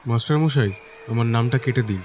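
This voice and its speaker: A man's voice: mid-range voice, a little fast, a little mellow, a little hiss or hum, close to an everyday voice. An actor playing a spoilt twenty-year-old heir in a radio drama who stammers when he grows emotional, with music underneath. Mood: careless. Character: young man of twenty, spoilt heir, later pleading.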